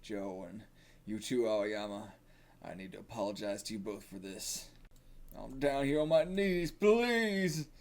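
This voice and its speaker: A man voicing an old, gruff boxing coach with a gravelly, solemn, confessional delivery. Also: lowish voice, little pitch movement, normal speed, darkish tone. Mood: pleading.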